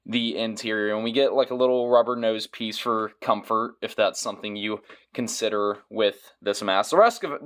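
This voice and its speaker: A man doing casual product review commentary as a toy reviewer, with dry, joking asides. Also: lowish voice.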